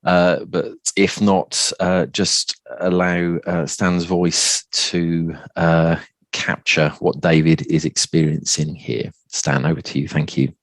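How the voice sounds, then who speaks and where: A man's voice: sits low, level tone, slow pace, no room sound. A man preaching reflectively on a psalm, building his phrases by repetition. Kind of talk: sermon on a psalm.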